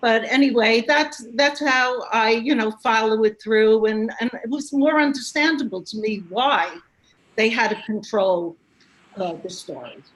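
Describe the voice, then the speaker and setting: Female call participant, slightly high voice, halting and repetitive. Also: rough recording. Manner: halting, repeats words. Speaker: webinar participant. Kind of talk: casual discussion on a video call. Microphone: video call.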